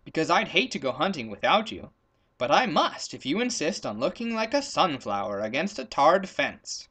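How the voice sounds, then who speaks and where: A man voicing a practical, bossy hunter in a children's storybook, brisk and instructive. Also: fairly high pitch, sing-song, pushed and bright, breathy edge, exaggerated voice. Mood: persuading. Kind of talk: storybook character voice in a read-aloud.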